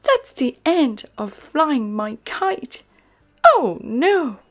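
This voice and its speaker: A woman's voice: sing-song, extremely slow, exaggerated voice. Spot, a woman doing an eager little puppy. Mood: excited. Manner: high, eager exclamations. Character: small excited male puppy.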